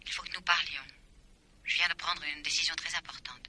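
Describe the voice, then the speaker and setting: A woman's voice: low-pitched, fairly even intonation, brisk, background noise, close to an everyday voice. An actress in a French radio mystery drama playing an insistent, commanding woman who teases and keeps secrets. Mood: insistent, secretive.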